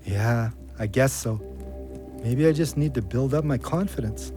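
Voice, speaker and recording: A man's voice: mid-range voice, unhurried, mellow, soft background music, lightly acted. A man acting in an audio play as a reflective ex-convict trying to stay sober, with a Canadian accent and an earnest, colloquial manner. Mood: unsure, hopeful.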